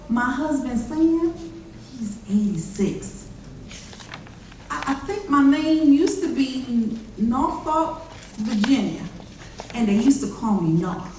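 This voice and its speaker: A woman acting a ninety-six-year-old formerly enslaved woman recounting her life in deliberate, folksy readers theater storytelling. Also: on the high side, darkish tone. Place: chapel stage performance. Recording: very echoey chapel, far-off mic, faint noise.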